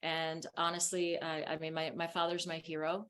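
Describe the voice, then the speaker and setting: A woman with a low voice whose first language is Spanish sits as an interview guest. She talks in a chatty, open way and laughs often as she tells her story. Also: completely flat delivery, normal speed, fairly bright tone, a little hiss or hum. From United States. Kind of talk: casual interview talk.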